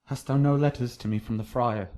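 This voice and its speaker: A man's voice: mid-range voice, fairly even intonation, brisk, dark tone, lightly acted. An actor playing a passionate, desperate young nobleman, declaiming intensely in a Shakespearean radio drama. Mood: despairing.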